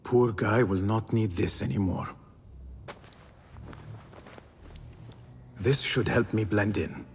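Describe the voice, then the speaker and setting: A man's voice: lowish voice, fairly even intonation, moderate pace, darkish tone, slight background noise, lightly acted. A man playing a young, earnest assassin in a cinematic video game, with music behind him. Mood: hushed, reflective. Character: young vengeful assassin, stealthy, earnest and polite.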